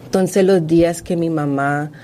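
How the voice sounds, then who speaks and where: Low mellow-voiced woman historian. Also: steady tone, a little hiss or hum. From Colombia. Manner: earnest, measured, with restarts. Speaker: guest author and historian. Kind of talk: radio interview answers.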